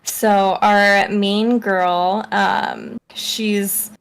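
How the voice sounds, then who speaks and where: A woman co-hosting a casual book chat, summing up unscripted in a halting, hedging way. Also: medium pitch, flat delivery, smooth, poor audio. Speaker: book reviewer co-host.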